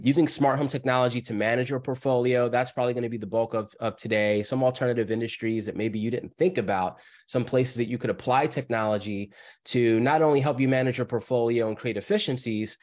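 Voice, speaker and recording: A man with a cold, sounding scratchy, presenting as the guest speaker on a webinar over a video call in a brisk, upbeat way. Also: mid-range voice, darkish tone.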